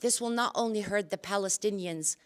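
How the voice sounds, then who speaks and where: A woman's voice: mid-range voice, fairly quick. A woman from the audience who says she has a big voice, putting pointed questions to candidates. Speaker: audience member asking questions. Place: mosque hall.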